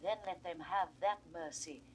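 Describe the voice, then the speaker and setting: A woman's voice: fairly low pitch, normal speed, lightly acted. A woman with a British RP accent playing a desperate, aging duchess in a Shakespearean audio drama, pleading in impassioned verse. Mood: passionate. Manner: impassioned, sweeping verse delivery. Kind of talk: classical Shakespearean stage acting. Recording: vintage 1960s recording, faint noise.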